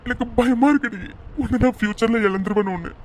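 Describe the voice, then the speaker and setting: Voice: mid-range voice, fairly quick, a little hiss or hum, lightly acted. A performer voicing a remorseful young man apologizing over and over to the woman he is leaving. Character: remorseful young man breaking up with his fiancée.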